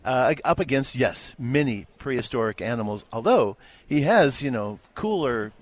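A man's voice: medium pitch, lively intonation, moderate pace. A man co-hosting a radio movie show, chatting casually and playfully with wry remarks. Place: radio studio.

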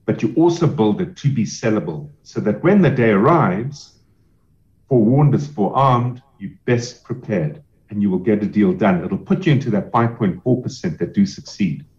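Man, lowish voice, steady expert explainer, slightly dull lo-fi sound. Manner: measured, explanatory, emphatic repetition. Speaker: business sale advisor. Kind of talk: podcast interview answers.

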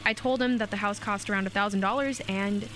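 A woman playing a gentle, modest small-town mother in a radio play, patient and a little hesitant. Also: mid-range voice, fairly even intonation, quick pace, slight background noise, close to an everyday voice. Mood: casual, hopeful. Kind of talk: naturalistic radio play acting.

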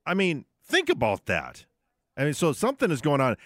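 A man's voice: a little high, huge pitch swings, normal speed, a bit bright, no room sound, clean studio sound. A man co-hosting a radio sports show, talking casually and conversationally, often checking in with short tag questions. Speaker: sports radio host in studio. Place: radio studio.

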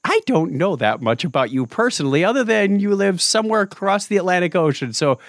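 A man's voice: high voice, fairly quick, no echo, clean studio sound. A man hosting a podcast in a casual, joking interview chat, given to wandering off on tangents. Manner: lively, jokey, tangent-prone. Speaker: podcast host.

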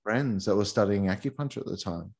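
A man's voice: on the low side, a little fast, husky. A man, a guest in an interview, talking casually and rambling with many fillers and restarts. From Australia. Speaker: guest, massage teacher for couples.

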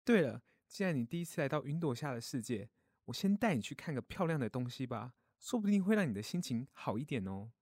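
A man voicing a kindly grown-up mole in a children's audio story, gentle, caring and reassuring. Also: medium pitch, big pitch swings, quick pace, husky, no echo, studio quality. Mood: comforting.

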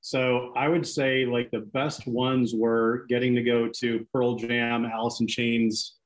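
A man in his forties speaking easily as an interview guest, Greek his first language though his English is fluent. He talks in a relaxed, halting way, stopping to restart his sentences, at a mid pitch. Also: rough recording. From Seattle. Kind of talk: casual podcast interview.

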